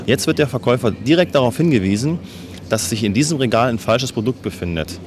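A man's voice: medium pitch, lively intonation, fast, darkish tone. A man explaining a product demonstration step by step in a matter-of-fact way.

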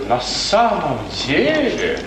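An actor playing a proud, hot-tempered young count, haughty, curt and sarcastic, in 1950s radio theatre style. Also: male voice, high-pitched, wildly swooping pitch, takes it slowly, distant mic, exaggerated voice. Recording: echoey, faintly noisy 1950s radio drama recording.

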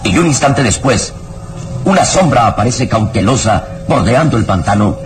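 A man narrating an old radio serial, solemn and suspenseful, with deliberate dramatic phrasing. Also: medium pitch, lively intonation. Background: loud dramatic music.

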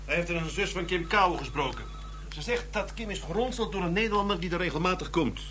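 A man's voice: high voice, expressive, fast, sharp tone. An actor playing a businesslike male police officer briefing colleagues in a radio drama. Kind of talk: Dutch radio drama dialogue.

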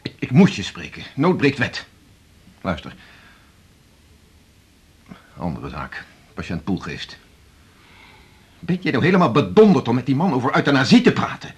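An actor playing a domineering, condescending senior hospital doctor in a radio drama. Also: male voice, mid-range voice, sing-song, moderate pace, long pauses, breathy edge. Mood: angry. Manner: pointed, condescending, commanding. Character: domineering, prying male senior hospital doctor, needy underneath. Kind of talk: 1980s Dutch radio drama acting.

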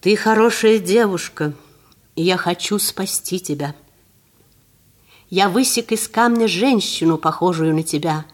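A woman voicing a kindly, mighty old man with a green beard in a fairy tale, speaking gently and reassuringly. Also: fairly low pitch, measured pace.

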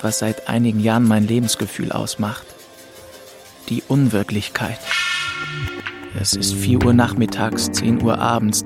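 Radio drama lead: grieving young narrator, introspective and detached, low with a gravelly edge. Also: male voice. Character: grieving orphaned young man of twenty-two, aimless and withdrawn. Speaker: first-person narrator. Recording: music behind the voice.

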